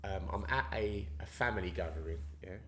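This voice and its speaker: A man's voice: on the low side, brisk, a bit bright, a little hiss or hum. A young man from London with a Cockney accent, talking candidly as a podcast guest and often checking that his listener follows. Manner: earnest, keeps checking in with the listener. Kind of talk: candid casual conversation.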